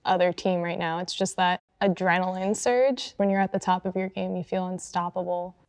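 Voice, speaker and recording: A woman's voice: on the low side, fairly even intonation, moderate pace, darkish tone, smooth, no room sound, studio quality. A woman chatting casually and personally as a gamer on a panel.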